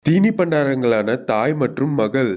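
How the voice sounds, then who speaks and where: A man's voice: medium pitch, animated, a little slow, a little mellow, soft background music. A man narrating a scripted children's bird story.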